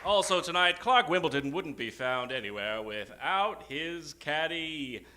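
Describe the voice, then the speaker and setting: A man hosting a live old-time radio show as a grandiose, theatrical announcer with dramatic pauses. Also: a little high, animated, sharp tone. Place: theater stage, before an audience. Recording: live stage show with music behind. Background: music.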